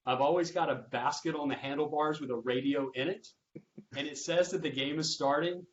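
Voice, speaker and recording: A man's voice: fairly high pitch, fairly quick, dull audio. A man who works as a sports public address announcer, moderating a roundtable conversation.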